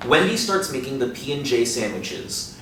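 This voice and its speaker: A man's voice: mid-range voice, sing-song, moderate pace, a bit bright. A man in his thirties reading scripted stage directions as narrator of a play reading. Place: small indoor room, seated. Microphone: distant camera. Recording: echoey room, distant mic, faint noise.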